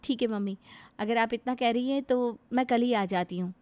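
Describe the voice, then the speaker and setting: A woman voicing a rude, sharp-tongued young daughter-in-law who scolds her mother-in-law. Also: on the high side, moderate pace, close to an everyday voice. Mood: sweet. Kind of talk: Hindi family drama acting.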